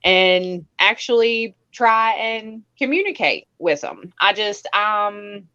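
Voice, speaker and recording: Slow-talking woman guest, soft-spoken. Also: mid-range voice, lively intonation. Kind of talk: casual conversation. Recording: dull lo-fi sound.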